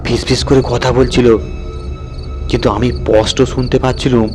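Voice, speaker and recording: A man voicing a colloquial raconteur who recalls a frightening night with drawn-out, dramatic suspense. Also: medium pitch, level tone, over music, close to an everyday voice. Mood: frightened awe.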